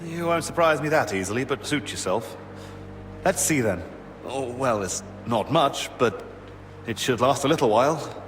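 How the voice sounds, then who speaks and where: A man's voice: mid-range voice, lively intonation, moderate pace, background noise, lightly acted. An actor playing an easygoing male helper in a monastery infirmary, speaking casually and dryly in a video game. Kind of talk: video game cutscene acting. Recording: reverberant, clear music behind.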